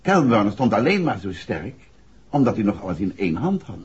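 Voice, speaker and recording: An actor playing an assured detective husband explaining a case in a 1960s Dutch radio drama. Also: male voice, fairly low pitch, very animated, a little fast, a little mellow, breathy edge, heavily acted. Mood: emphatic, explaining. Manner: expository, assured, conversational. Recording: muffled, dull 1960s radio recording.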